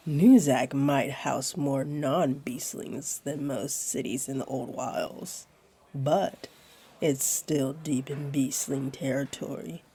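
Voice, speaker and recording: A flirty jaguar beastling woman, played by a voice actress, speaks in a dark, mellow voice with a husky, breathy edge. She takes her time, teasing in a playful, laid-back way and soothing as she goes. It is intimate roleplay audio aimed at a single listener. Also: very deep voice, exaggerated voice. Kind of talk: roleplay audio acting, addressed to one listener.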